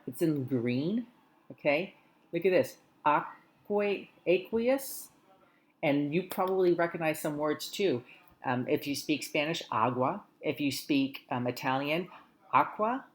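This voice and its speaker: A woman hosting a school morning greeting, speaking warmly and encouragingly to students and reading slowly and carefully. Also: low-pitched. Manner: warm encouraging teacherly tone, slow careful reading. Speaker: school morning announcements host. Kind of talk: friendly talk to schoolchildren.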